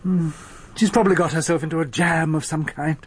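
A man's voice: on the high side, steady tone, a little fast, husky. An actor playing a discreet, knowing shopkeeper in a radio drama, a man with a confiding, insinuating manner.

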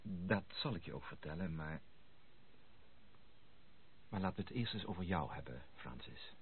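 An actor playing an earnest, sympathetic gentleman with a husky voice and courteous manner in a radio drama. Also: sits low, lively intonation, normal speed, slight background noise. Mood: earnest, tactful. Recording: tinny, band-limited old radio recording.

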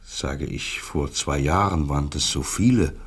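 Low, husky, despairing ex-secret police officer. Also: male voice, full character voice. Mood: troubled, recounting. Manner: halting, broken-off sentences. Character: despairing former East German Stasi officer, seeking absolution. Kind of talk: German radio play acting.

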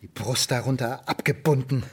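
An actor playing a stern, sardonic middle-aged father in a German radio drama, with a husky voice. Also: medium pitch, fairly even intonation, close to an everyday voice. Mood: reproachful, scornful. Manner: stern, sarcastic, deliberate.

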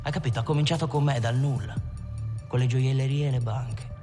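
A man's voice: medium pitch, steady tone, fairly quick, natural voice. An actor playing a streetwise Italian gangster, telling his memories in a colloquial film monologue. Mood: streetwise, reminiscing. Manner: colloquial, storytelling with acted-out dialogue. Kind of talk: dramatic film monologue, conversational reminiscence. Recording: film soundtrack, reverberant hall, music behind.

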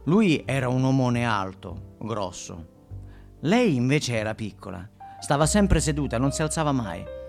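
A man delivers a first-person stage monologue at a middling pitch. He tells it conversationally, often repeating the start of a phrase for emphasis. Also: very animated, measured pace, creaky, faint music behind. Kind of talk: theatrical first-person storytelling.

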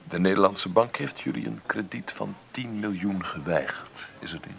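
An actor in a radio play as a wealthy, bitter middle-aged businessman, gravelly, sardonic and condescending in a deliberate way. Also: lowish voice, a bit bright. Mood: dry mockery. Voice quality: gravelly, creaky.

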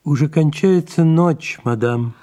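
A man's voice: a little high, takes it slowly, mellow. A man playing a devoted, worried secretary to an elderly actress in an audio drama. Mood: concerned. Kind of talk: audio play acting with read stage directions.

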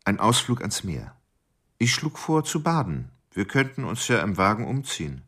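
Husky, sardonic murderer. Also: male voice, lowish voice, very animated, moderate pace, fairly bright tone, exaggerated voice. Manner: wry, matter-of-fact about killing. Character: sardonic male murderer recounting a deadly romance. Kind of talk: first-person radio play narration.

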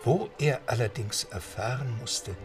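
A man's voice: medium pitch, expressive, a little slow, distant mic. A man narrating an audio drama in scripted storytelling style. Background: music.

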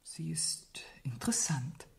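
A self-assured society beauty in a radio drama. Her lowish, mellow voice swoops playfully, poised and full of teasing irony. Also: sing-song, slow, creaky, whispered, exaggerated voice. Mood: disdainful, amused. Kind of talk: German radio play acting.

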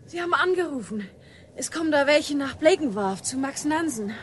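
An actress playing a young village woman who brings messages briskly and plainly in a radio drama, over faint ambience. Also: high voice, lively intonation, slight background noise. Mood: urgent, brisk.

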